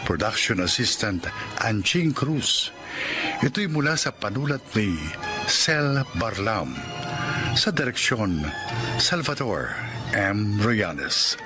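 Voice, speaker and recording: A male radio drama host who speaks slowly, formally and solemnly. His pitch rises and falls widely, and loud music plays behind him. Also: very animated. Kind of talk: scripted broadcast announcing.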